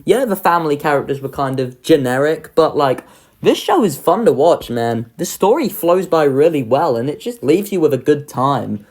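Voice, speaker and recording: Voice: medium pitch, sing-song, moderate pace, a little mellow. A young adult giving a casual, enthusiastic ranking commentary, informal and happy to swear. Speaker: video creator ranking children's pantomimes.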